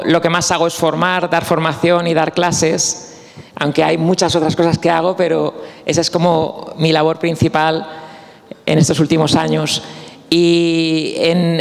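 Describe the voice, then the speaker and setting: A man from Spain giving a personal talk as guest speaker at a conference, speaking slowly and reflectively with pauses and restarts. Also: sits high, long reverb tail. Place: conference hall.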